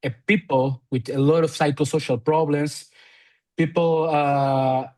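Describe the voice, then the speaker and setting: Argentine man in halting English. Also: fairly high pitch, steady tone, a little mellow. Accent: Argentine Spanish. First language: Spanish. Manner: halting non-native English with frequent fillers and restarts. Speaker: physiotherapist and researcher guest. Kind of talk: conversational podcast interview.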